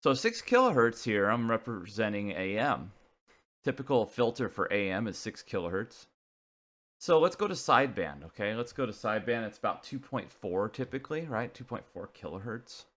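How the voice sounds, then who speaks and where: A man giving an informal technical explanation, talking haltingly as he thinks aloud. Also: lowish voice, sing-song, fairly bright tone. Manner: casual, halting, thinking aloud while working through figures. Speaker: presenter of a CB radio technical video.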